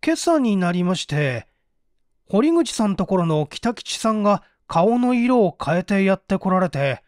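A man's voice: high voice, very animated, a little slow, no echo, studio quality. A man voicing a timid young villager who recounts a frightening night in an audiobook mystery. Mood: uneasy recounting. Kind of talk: audiobook reading of a vintage mystery.

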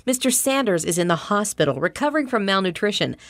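A woman's voice: mid-range voice, sing-song, quick pace, no room sound, natural voice. A woman playing a firm, incredulous social worker in an audio drama.

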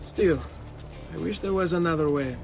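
A man's voice: on the high side, moderate pace, gravelly, over music. A man playing a hot-headed, cocky young Italian nobleman with an Italian accent in a dramatic video game. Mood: casual, cocky. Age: young adult.

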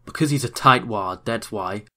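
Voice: medium pitch, normal speed, pushed and bright, lightly acted. An actor playing a cynical, impatient young male newsboy with blunt slang in an audio story.

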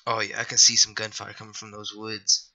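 A man's voice: lowish voice, completely flat delivery, a little fast, sharp tone. A young man with a scratchy voice after being sick, rambling and apologizing through casual gameplay commentary. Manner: rambling, apologetic, lots of fillers. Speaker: gaming youtuber.

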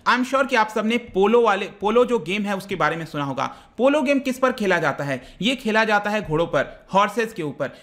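Young male current affairs tutor, high voice, direct and explanatory, slide voice-over. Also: a bit bright. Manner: explanatory, addresses viewers directly, mixes English terms. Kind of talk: exam prep lecture over slides.

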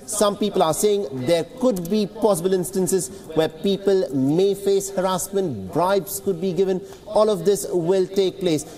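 A man's voice: sits high, a little mellow, big-room sound. A man in his thirties giving an earnest studio explanation as a news correspondent, often repeating words while he builds his points. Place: TV newsroom.

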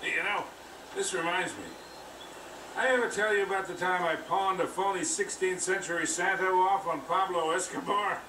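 A man playing a gruff older treasure hunter. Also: high-pitched, fairly even intonation, normal speed, recorded from a distance, noisy background, natural voice. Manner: gruff, wry, world-weary. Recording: game audio played in an echoey room, music behind.

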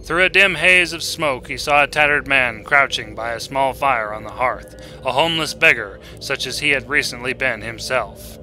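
A man narrating a short story in a dramatic, scripted style. His voice is very bright and forceful at a medium pitch, with music playing underneath. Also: normal speed, few pauses, poor audio.

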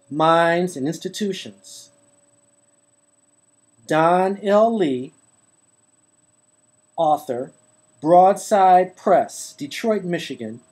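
A man hosting his own online channel, reading a book passage aloud to his webcam in a measured, deliberate way at home. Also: sits high, a little mellow, slightly rough. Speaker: YouTube host reading from a book. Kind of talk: slow, deliberate read-aloud to camera. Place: indoors at home, holding a book to the camera.

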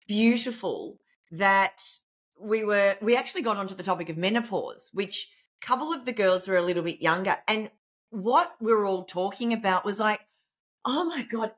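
A middle-aged woman with a naturally loud voice, hosting a relaxed interview from home over a webcam. She talks in an animated, expressive way, warm and chatty, and laughs easily. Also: mid-range voice. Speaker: podcast host. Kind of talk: conversational interview over video call. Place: indoor home room. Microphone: video call webcam.

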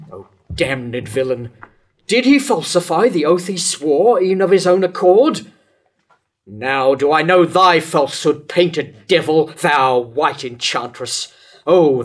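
Older guy acting a tormented jealous king, stage verse tragedy, really slow and kinda high for a man. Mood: accusing, shocked. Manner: declamatory, deliberate, dramatic pauses. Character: jealous, tormented king Herod, wrathful and lovesick. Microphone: microphone on stand at lectern.

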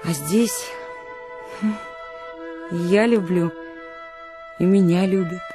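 A woman's voice: on the low side, slow pace, music in the background. An actress playing a proud, frank young village woman, content and loving, in a radio play. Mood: proud, gentle.

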